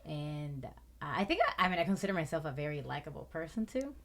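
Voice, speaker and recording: A thirty-year-old woman from Puerto Rico, a guest in a casual interview, loud and talkative. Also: low voice, sing-song, quick pace, a little hiss or hum. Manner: loud, talkative, swears and laughs often.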